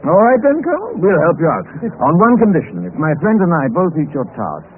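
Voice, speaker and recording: An actor playing a suave, secretive English gentleman adventurer in a 1950s radio drama, theatrical and urbane, with a British accent. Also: on the high side, huge pitch swings, fast talker. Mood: jovial, curious. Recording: scratchy muffled 1950s radio recording, loud hiss.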